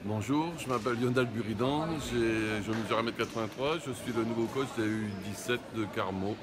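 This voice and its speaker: A man's voice: fairly low pitch, moderate pace, over a noisy crowd. A man, a basketball youth coach, introducing himself casually with playful, joking self-mockery.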